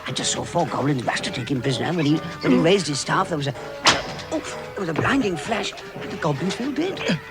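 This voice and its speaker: A man's voice: fairly high pitch, expressive, brisk, close to an everyday voice. A man playing a flustered, anxious adventurer who stumbles over his words in a vintage radio drama. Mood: shaken. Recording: vintage radio drama under loud music.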